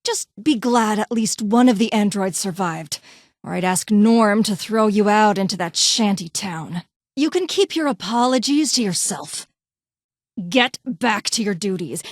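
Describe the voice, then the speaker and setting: A woman's voice: mid-range voice, no echo, studio quality, close to an everyday voice. A woman voicing a brusque, condescending vault doctor and scientist in a video game, with clipped, formal, haughty delivery. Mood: angry. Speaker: voice actor in a game mod. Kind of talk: scripted game character dialogue.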